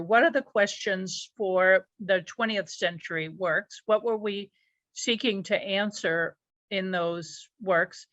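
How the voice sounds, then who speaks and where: Female audience questioner, unhurried and considered delivery, dull lo-fi online call recording. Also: medium pitch, a bit bright, no room sound. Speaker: audience member asking a question.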